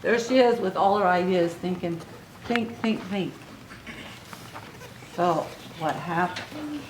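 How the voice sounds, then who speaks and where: A woman reading a story aloud to young children in an expressive way, with little asides to her listeners. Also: on the low side, slow pace. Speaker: children's author reading own book aloud. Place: book signing event in an echoey room. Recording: echoey room recording with clear noise.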